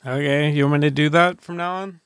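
Male co-host for casual podcast banter: wry, crisp, clean voice in a dry space. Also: on the high side, steady tone, brisk, fairly bright tone, no room sound. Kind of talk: casual chat.

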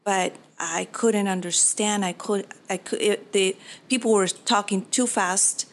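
A woman's voice: mid-range voice, fairly even intonation, measured pace. Earnest woman. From Peru. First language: Spanish. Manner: earnest, reflective, with restarts. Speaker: mortgage professional. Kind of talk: interview answers.

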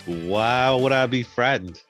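A man's voice: medium pitch, slow, fairly bright tone, faint music behind. A man playing an unafraid, solemn sinner speaking grandly in improvised comedy. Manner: grandly declarative.

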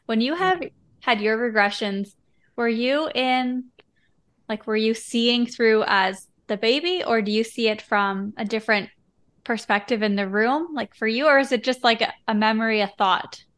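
A woman's voice: fairly high pitch, moderate pace, fairly bright tone, smooth. A woman hosting a podcast, chatting casually and candidly in a reflective, informal way. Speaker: podcast host. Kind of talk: casual conversational interview.

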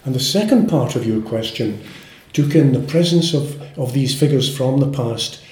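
A Scottish man in his eighties, a poet speaking and reading in a measured, formal way with careful pauses. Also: mid-range voice, darkish tone. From Scotland. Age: 80s. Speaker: poet, interview guest. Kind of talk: literary interview with poetry reading. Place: indoor room with some echo. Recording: roomy, echoing indoor sound.

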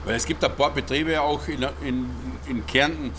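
A middle-aged or older man, answering as a farmer in an interview, haltingly and repeating words. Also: a little high, steady tone, a bit bright, a little hiss or hum.

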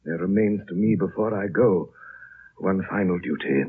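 An actor playing an ancient, dying High Lama in a 1930s radio drama, gentle and prophetic, speaking solemnly in a frail, husky, hushed voice. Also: male voice, a little hiss or hum, natural voice. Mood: tender, solemn. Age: older. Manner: slow, solemn, hushed, with long pauses. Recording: muffled, band-limited 1930s radio recording.

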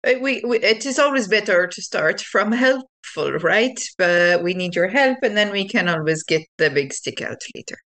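A woman's voice: medium pitch, animated, moderate pace, hardly any pauses, a bit bright. A woman playing a tabletop role-playing game, casually talking through plans partly in character.

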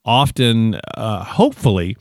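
A man's voice: fairly low pitch, sing-song, measured pace, dry recording. A man with a slightly sniffly voice hosts a podcast in a close, dry recording. He talks casually and colloquially, like a friendly chat with folksy turns of phrase. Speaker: podcast host.

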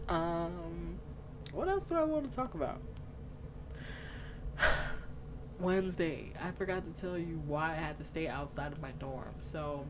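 A woman's voice: deep voice, noisy background. A young woman hosting a podcast, giving a rambling casual update with lots of fillers and giggles, sounding a bit congested. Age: young adult. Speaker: podcast host. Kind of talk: casual chat, personal update.

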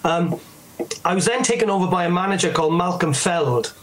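A man's voice: high-pitched, expressive, brisk, over a noisy crowd. A middle-aged Welsh man chatting as an interview guest, telling anecdotes and acting out what others said. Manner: chatty storyteller, acts out quoted voices. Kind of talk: casual anecdotal chat.

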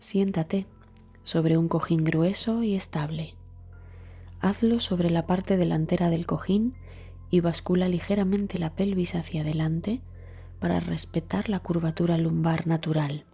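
A woman reads a scripted guided meditation as the guide, calmly and deliberately, with a soft, breathy voice and trailing words. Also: lowish voice, faint music behind.

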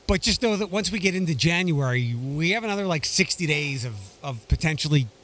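A man, chatting casually as a podcast co-host in a dry, wry, measured way. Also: on the high side, animated, fairly bright tone. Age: 40s. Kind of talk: casual chat.

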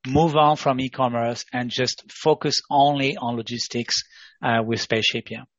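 A man's voice: mid-range voice, fairly even intonation, moderate pace, no room sound, dull audio. A man hosting a conversational interview, with loose phrasing that circles back on itself. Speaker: interview host.

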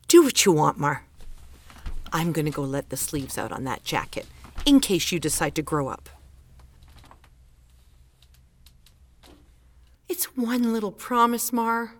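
A woman's voice: low voice, big pitch swings, a little slow, long pauses, slight background noise, full character voice. A woman playing a stern, exasperated middle-aged mother who holds to tradition, in a naturalistic audio drama, insistent and scolding. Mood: weary, exasperated. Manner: insistent, guilt-laden pleading and scolding.

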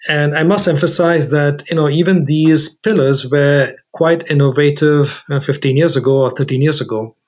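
A man's voice: a little high, moderate pace, hardly any pauses, a little mellow. A man speaking as an expert interview guest, explaining things methodically and setting out his points in order.

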